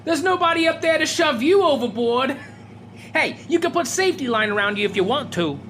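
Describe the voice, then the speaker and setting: An actress playing a brash, impatient oil-drilling crew boss in blunt, slangy old-time radio style. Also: female voice, high voice, animated, a little fast, fairly bright tone, a little hiss or hum. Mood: impatient, bossy.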